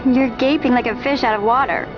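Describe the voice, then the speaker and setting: Princess in hiding for a video game , woman acting: composed, dry, superior, formal, highish, muted recording. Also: brisk, close to an everyday voice. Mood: cool, dry. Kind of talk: video game cutscene dialogue. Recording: dull sound, faint music behind.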